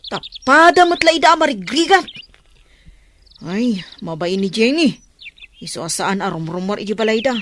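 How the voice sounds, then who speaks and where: A woman playing a frank, caring young village friend in a radio drama, chatty and animated, with an Ilocano accent. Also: mid-range voice, moderate pace, pauses often, slight background noise, close to an everyday voice.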